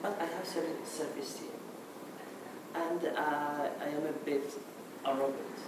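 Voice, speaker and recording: A man from Morocco whose first language is Arabic, speaking English with a Moroccan accent in a hesitant conference talk, restarting often and filling pauses with "okay". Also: a little high, fairly even intonation. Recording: echoey hall, mic far off, noisy.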